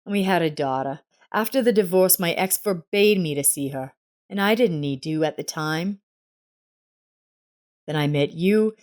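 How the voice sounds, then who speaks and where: A woman's voice: deep voice, a little mellow, natural voice. A woman voicing a remorseful, anxious husband in a narrated family drama story. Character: remorseful, anxious husband confessing to his wife.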